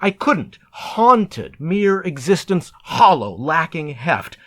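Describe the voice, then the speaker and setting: Slow, bright-voiced man reciting poetry dramatically. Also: on the high side, big pitch swings. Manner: deliberate, measured, theatrical delivery. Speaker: poet reading own work.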